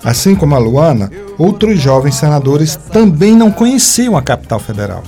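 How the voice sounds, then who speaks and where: A man's voice: fairly high pitch, very animated, normal speed, music in the background. A man narrating a scripted news feature.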